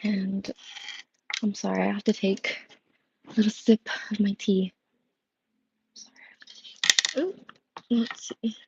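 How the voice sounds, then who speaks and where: Young woman tarot reader, worn voice, unhurried rambling chat, gravelly edge. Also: medium pitch, flat delivery, mellow, highs cut off, a little hiss or hum. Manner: rambling, many fillers and restarts. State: voice strained and worn. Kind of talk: casual livestream chat. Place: home room with coffee machine. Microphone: earbud headset mic.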